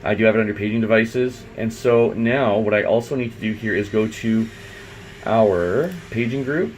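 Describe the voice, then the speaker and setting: A man's voice: lowish voice, moderate pace, darkish tone, slight background noise, low-quality recording. A man giving an informal, unscripted step-by-step tutorial, thinking aloud as he works. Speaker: IT installer giving a software tutorial. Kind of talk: unscripted step-by-step screen walkthrough.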